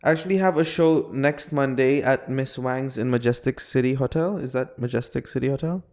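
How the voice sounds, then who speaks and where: A man's voice: mid-range voice, darkish tone. A young man from the Philippines co-hosting a casual podcast, playful and joking. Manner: halting, searching for words in a second language. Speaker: podcast co-host.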